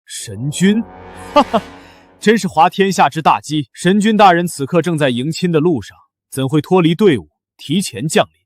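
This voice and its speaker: An actor playing a god disguised as a beggar husband, calm and assured. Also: male voice, fairly high pitch, normal speed, faint music behind. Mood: mocking. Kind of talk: short-drama acting.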